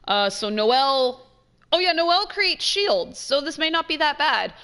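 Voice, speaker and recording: A woman's voice: high voice, sing-song, a little slow, sharp tone. A woman giving casual let's play commentary, thinking aloud in informal, slangy speech.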